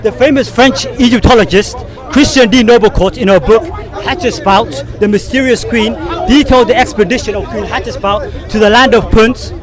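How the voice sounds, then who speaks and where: A man's voice: high voice, normal speed. Insistent man arguing outdoors, crowd behind. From Somalia. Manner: insistent, keeps asking "okay?" and "yeah?". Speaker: street debater. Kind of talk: heated street debate. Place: outdoors on a city street.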